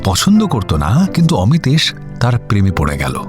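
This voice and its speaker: A man's voice: lowish voice, huge pitch swings, moderate pace. A man narrating a scripted radio story. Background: background music.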